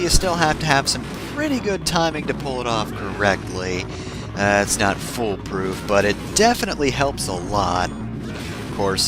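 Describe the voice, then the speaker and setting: A man with a very lively, swooping delivery talks over clearly audible music. He hosts a gameplay video in a casual, rambling way, drifting off on tangents and tossing in sarcastic asides. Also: mid-range voice. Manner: wry, sarcastic asides, often digressing. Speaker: video game let's-play host.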